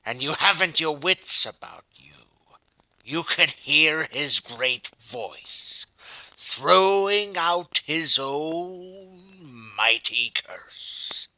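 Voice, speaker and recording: Folksy old farm yarn-spinner, very animated, slow, drawn-out, theatrical relish. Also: male voice, a little high, dry recording, full character voice, low-quality recording. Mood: spooky, relishing. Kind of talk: audio drama acting.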